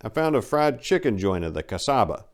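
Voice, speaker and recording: Low-voiced man reading a brash memoir. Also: sing-song, brisk. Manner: brash, wry, colloquial storytelling. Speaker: narrator. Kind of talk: dramatic reading of a first-person memoir.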